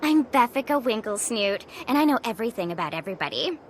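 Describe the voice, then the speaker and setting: A woman's voice: fairly high pitch, sing-song, fast, a little hiss or hum. A woman voicing a snarky, gossipy teenage creature in a video game, with an exaggerated American valley-girl drawl. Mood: gushing, nosy. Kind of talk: cartoonish video game character acting.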